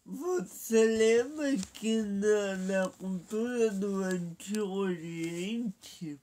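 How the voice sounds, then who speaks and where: A man preaching a Bible sermon. He has a cold, so his voice is nasal and stuffy, and he speaks in a deliberate way, pausing between phrases. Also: high-pitched, darkish tone. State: has a cold, blocked nose.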